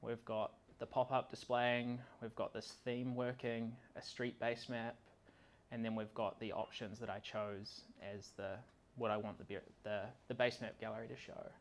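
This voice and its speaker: A man presenting an informal technical demo at an indoor meetup, speaking casually and a little haltingly as he works through the software. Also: medium pitch, level tone. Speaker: conference speaker, software consultant. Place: indoor meetup venue with large windows and projector screen.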